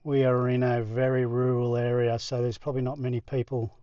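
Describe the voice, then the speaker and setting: An Australian man hosts a casual vlog in a medium-pitched voice. His delivery is easygoing and rambling, as he talks directly to his viewers. The recording is a little dull and lo-fi. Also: level tone, a little fast, dry recording. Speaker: vlog host. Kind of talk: casual chat to camera. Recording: slightly dull lo-fi audio.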